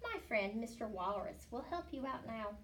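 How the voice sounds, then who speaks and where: A woman's voice: on the high side, animated, moderate pace, a bit bright, distant mic, slight background noise. A woman voicing a majestic, kindly female sea unicorn in a children's storybook. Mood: gentle, reassuring.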